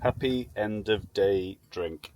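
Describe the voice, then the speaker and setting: A British man with a fairly low voice chats off the cuff for a vlog. He is informal and sweary, rambling along conversationally over clearly audible background noise. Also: takes it slowly, darkish tone. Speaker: vlogger. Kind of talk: casual rambling vlog chat.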